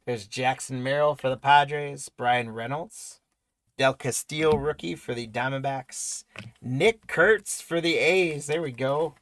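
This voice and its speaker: A man hosting a live trading card break, rattling off player names and congratulating viewers, his voice going hoarse. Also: mid-range voice, slow pace, a bit bright, dry recording. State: voice weakening, losing it.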